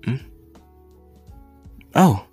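Anime ASMR roleplay: shy, kind teenage hero boy, played by a man, unhurried, stammering and apologetic, music underneath. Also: a little mellow. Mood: gentle, reassuring. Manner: hesitant, halting, apologetic, with stammers and pauses.

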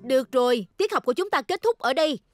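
A stern female schoolteacher in a children's cartoon. She speaks quickly in a high voice. Her manner is brisk and strict. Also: lively intonation, darkish tone, faint music behind. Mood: indignant, stern. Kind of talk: children's cartoon dub acting.

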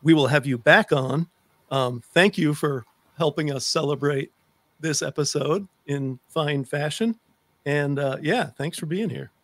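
A man's voice: fairly high pitch, unhurried, fairly bright tone, no echo. A middle-aged man hosting a conversational interview show, speaking close to a desk microphone. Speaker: talk show host. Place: home room lined with books, indoors. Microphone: close desk microphone on a video call.

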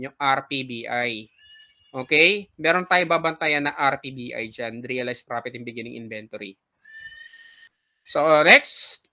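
A young man with a Filipino accent teaches in an informal lecture style. His voice sits a little high and has a bright, clear-cut edge. He explains things step by step and often adds short tags to check that listeners follow. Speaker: accounting teacher. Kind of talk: informal recorded lecture, talking through worked problems.

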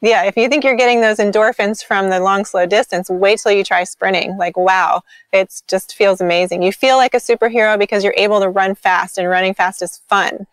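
A woman's voice: medium pitch, fairly even intonation, fairly quick, few pauses, no room sound. A woman in her forties, a podcast guest and sprint coach, talking in an enthusiastic casual way with frequent ums and likes over a video call. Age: 40s. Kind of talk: casual interview chat.

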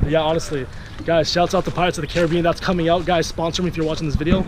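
A man's voice: fairly high pitch, flat delivery, racing. Hyped young man vlogging outdoors. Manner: hyped, repeats "guys" and "literally". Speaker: vlogger host. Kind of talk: excited exploration vlog. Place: outdoors on a rusted wrecked ship by water. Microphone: handheld action camera. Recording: wind and water noise outdoors.

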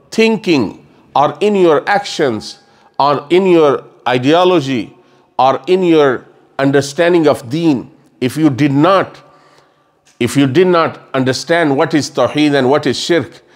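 A middle-aged man giving a sermon lecture in a mosque, explaining earnestly and repeating phrases as he gestures, into a stand mic on a table. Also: fairly high pitch. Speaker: religious scholar. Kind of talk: sermon lecture with Quran recitation. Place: mosque lecture room, indoors.

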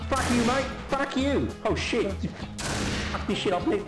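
A man's voice: extremely high pitch, slow, music in the background. A man voicing a crude, foul-mouthed Australian troll in a game's voice chat, swearing constantly and taunting. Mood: rowdy. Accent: put-on Australian. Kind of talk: game voice chat roleplay.

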